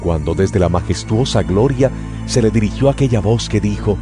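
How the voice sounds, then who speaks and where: A man giving a dramatized Bible reading in a slow, solemn and expressive way. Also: lowish voice. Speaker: scripture reader. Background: music.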